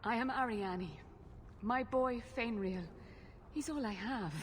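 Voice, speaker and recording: A woman's voice: a little high, takes it slowly, slight background noise. An actress playing an anxious elven mother who pleads earnestly for help finding her son, in a game cutscene.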